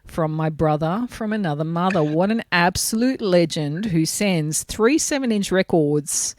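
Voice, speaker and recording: A woman DJ chatting as a guest, a bit hoarse and raspy from a cold, telling stories with lively enthusiasm. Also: lowish voice, a little slow, no echo. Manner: animated, enthusiastic storytelling. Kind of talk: casual chat.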